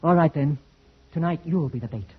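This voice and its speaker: A man's voice: on the high side, a little fast, close to an everyday voice. An actor playing a resolute rifleman in a 1940s radio drama, speaking in an earnest, clipped, theatrical way. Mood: sober, reflective. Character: resolute male rifleman, terse hunting companion. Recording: dull vintage radio recording.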